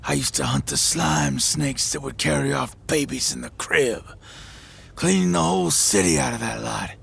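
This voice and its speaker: An actor playing a bitter one-legged monster hunter who boasts loudly and drops into hoarse whispers. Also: male voice, medium pitch, expressive, normal speed, full character voice. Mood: bragging. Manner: blustering, shouting boasts then dropping to hoarse whispers. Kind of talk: audio drama acting.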